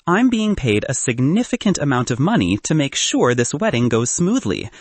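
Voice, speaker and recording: A man plays a brisk female bridesmaid in an audiobook rom-com. She is exasperated and sharp-tongued, speaking firmly with a husky edge. The delivery swings in pitch and sits dry and close. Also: big pitch swings, dry recording. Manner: firm, clipped, businesslike. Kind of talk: audiobook character dialogue.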